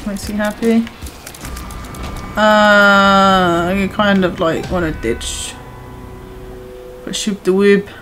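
A woman chatting casually while playing a video game, her voice a little hoarse from talking too much. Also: lowish voice. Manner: chatty, self-reassuring, talks to viewers. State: voice going hoarse from overuse. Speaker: gaming streamer. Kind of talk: casual gameplay commentary.

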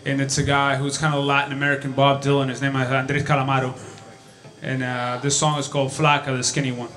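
A man in his twenties from Chile, talking quickly and loosely between songs on a small club stage, with fillers and trailing sentences. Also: medium pitch, monotone. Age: 20s. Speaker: singer-songwriter. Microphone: vocal mic on stand. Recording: live club recording with guitar. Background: acoustic guitar music.

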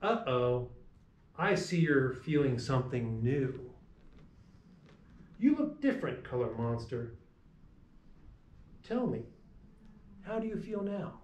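Middle-aged man, mid-pitched voice, unhurried children's read-aloud, gentle, distant mic. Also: very animated, muffled recording. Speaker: preschool teacher reading to children. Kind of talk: picture-book read-aloud for young children. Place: small classroom, indoors. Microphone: camera a few meters away. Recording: echoey room, distant mic.

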